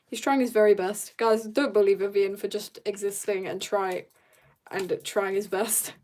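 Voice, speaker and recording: A woman's voice: mid-range voice, fairly even intonation, normal speed, darkish tone, slight background noise. A young woman chatting casually on an art livestream, rambling and slangy as she jumps between asides in a giggly way. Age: teenager or young adult. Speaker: streamer drawing and chatting.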